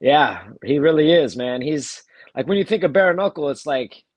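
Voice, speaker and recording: A fighter from Texas, talking casually as an interview guest while nursing a cold, with many fillers and restarts. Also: male voice, on the high side, lively intonation, moderate pace, dry recording. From Texas, USA. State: has a cold. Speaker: bare-knuckle fighter, guest.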